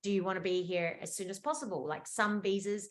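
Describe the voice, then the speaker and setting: Chatty Australian woman on a video call. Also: medium pitch. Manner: chatty, fast, lots of fillers and restarts. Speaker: immigration lawyer presenting at a webinar. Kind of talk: webinar presentation. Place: indoor room at home or office.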